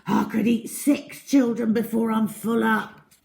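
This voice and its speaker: A woman's voice: fairly high pitch, little pitch movement, a little slow, gravelly, a little mellow, a little hiss or hum, voice pushed up. A woman voicing a greedy, scheming male crocodile in a children's storybook, muttering menacingly to himself. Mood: scheming, hungry. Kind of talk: children's storybook read-aloud.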